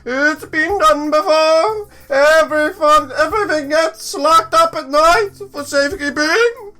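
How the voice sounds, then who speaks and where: A woman voicing a helpful archaeologist with an affected, drawn-out delivery in a visual novel. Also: high-pitched, bright, forceful tone, pitched above the performer's own voice. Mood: helpful, brisk.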